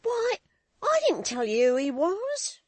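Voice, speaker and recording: A reader voicing a nervous young male servant in an audiobook, with a high, hushed, evasive manner. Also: huge pitch swings, takes it slowly, dry recording, exaggerated voice. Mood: jittery. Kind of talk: audiobook character voice.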